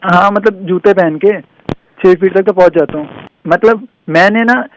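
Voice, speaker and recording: A man's voice: high-pitched, unhurried. A young man on a phone call, rambling in an earnest, aggrieved way. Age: young adult. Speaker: prank call victim. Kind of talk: emotional casual phone chat.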